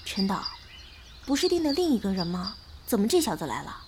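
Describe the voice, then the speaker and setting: A woman playing a sharp-tongued, possessive young actress in an audio drama, pert and outspoken, quick to complain. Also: mid-range voice, very animated, noisy background, lightly acted. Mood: displeased. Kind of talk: modern radio drama acting.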